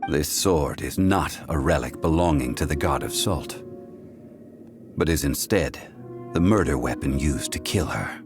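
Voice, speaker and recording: A low-voiced, dignified scholar from a fantasy video game. He is calm, stern and formal, speaking gravely over clear background music. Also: a little slow, exaggerated voice. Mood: solemn. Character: calm, stern, scholarly man who upholds contracts.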